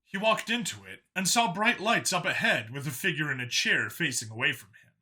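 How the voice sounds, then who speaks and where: A man's voice: on the high side, sing-song, a little fast, bright, forceful tone. A man narrating a science fiction action story from a script.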